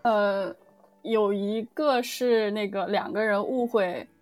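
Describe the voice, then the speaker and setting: A woman's voice: mid-range voice, slow pace, a little mellow, no room sound, soft background music. A woman answering casually as a scriptwriter guest, hesitantly restarting her phrases. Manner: hesitant, repeats phrase openings. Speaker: audio drama scriptwriter guest.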